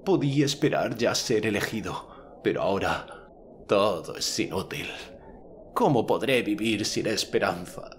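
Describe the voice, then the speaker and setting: A man voicing a defeated knight in an audiobook, proud of his strength but hoarse, bitter and dejected. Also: fairly low pitch, animated, measured pace, a little hiss or hum, pitched above the performer's own voice. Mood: bitter, despairing.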